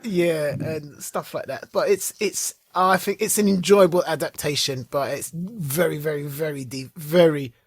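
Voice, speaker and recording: A man's voice: on the high side, measured pace, few pauses, slightly rough, no room sound, studio quality. A man co-hosting a podcast, chatting casually about TV shows, laughing often and trailing off mid-sentence. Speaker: podcast co-host. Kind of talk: casual chat reviewing TV shows.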